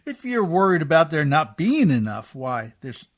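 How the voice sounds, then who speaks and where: A man acting in a radio play as a rough, good-natured, hard-drinking Canadian riverboat skipper with a folksy, deferential, ungrammatical drawl. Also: on the high side, sing-song, normal speed. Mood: genial, hospitable.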